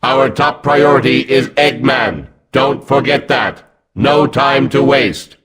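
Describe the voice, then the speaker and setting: A man voicing a hulking combat robot in a video game, gruff and mechanical, giving clipped, vengeful commands. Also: medium pitch, slow, fairly bright tone, heavily acted. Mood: menacing, vengeful.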